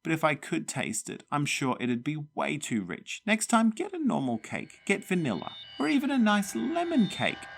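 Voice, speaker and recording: A man voicing a petty, spiteful old woman ghost with a rasping voice in a spoken storybook. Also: on the high side, huge pitch swings, moderate pace, few pauses, a little hiss or hum, pitched above the performer's own voice, full character voice. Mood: complaining.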